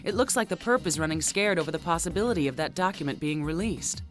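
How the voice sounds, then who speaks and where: A woman playing a commanding, sceptical female cyborg squad leader in an anime game dub, brisk, dry and authoritative. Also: fairly low pitch, lively intonation, faint music behind, lightly acted. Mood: suspicious.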